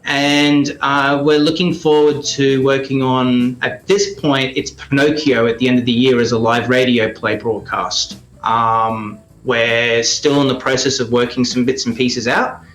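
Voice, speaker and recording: A man with an Australian accent giving conversational interview answers in a halting way, as if thinking aloud. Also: medium pitch, fairly even intonation, a bit bright, roomy sound, faint music behind, rough recording. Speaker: radio play producer and performer, interview guest.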